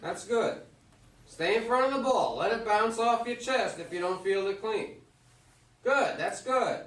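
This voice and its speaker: Man acting retired old baseball star, calm and sure, high male voice, echoey distant stage reading. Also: unhurried. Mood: encouraging. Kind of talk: amateur staged play reading. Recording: echoey room, far from mic.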